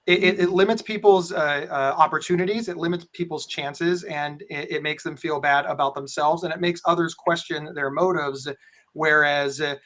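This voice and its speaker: Booming-voiced male host. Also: fairly bright tone. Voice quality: big booming voice. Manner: rambling with frequent restarts. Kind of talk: live webinar moderating.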